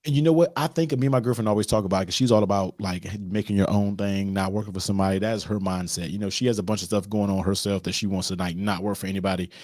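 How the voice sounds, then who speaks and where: Fast-talking excitable man, dry sound. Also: lowish voice, no echo. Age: 30s. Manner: excitable, keeps asking "you know what I mean", laughs often. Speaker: guest. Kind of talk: casual chat. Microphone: video call.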